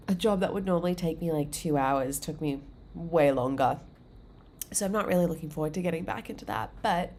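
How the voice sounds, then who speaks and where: Woman livestream host, lower voice, stuffy and congested, upbeat rambling chat with lots of "um" and "like". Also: darkish tone, slight background noise. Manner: chatty, filler-heavy, upbeat. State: congested, under the weather.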